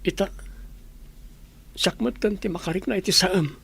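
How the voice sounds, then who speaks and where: A man playing a brooding, bitter village man with a husky voice in a radio drama. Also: high voice, normal speed, fairly bright tone, exaggerated voice. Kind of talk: Ilocano radio drama acting. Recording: music under the voice.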